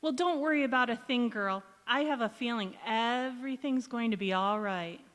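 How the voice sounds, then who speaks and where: A woman's voice: a little high, moderate pace, noisy background, natural voice. A woman playing a supportive, wry housewife friend in old-time radio sitcom style, coaxing and bossy. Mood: incredulous, sympathetic. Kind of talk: golden-age radio sitcom acting on stage. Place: large indoor hall, live stage performance. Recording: echoey hall, community theatre stage.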